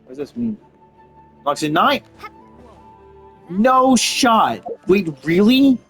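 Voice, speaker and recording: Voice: mid-range voice, very animated, takes it slowly, creaky, faint music behind. A game streamer giving casual gameplay commentary, musing aloud and reacting in slang.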